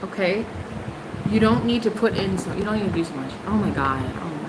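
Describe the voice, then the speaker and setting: A young woman hosting a casual tarot livestream, sassy and emphatic, jumping high in pitch for punchlines. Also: animated, fairly quick, noisy background.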